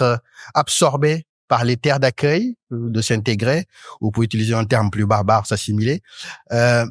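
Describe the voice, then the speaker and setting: A man in his thirties from Senegal, speaking as a talk show panelist in an articulate, academic way, often pausing on hesitant fillers. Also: medium pitch, no room sound, studio quality. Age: 30s. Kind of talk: panel discussion.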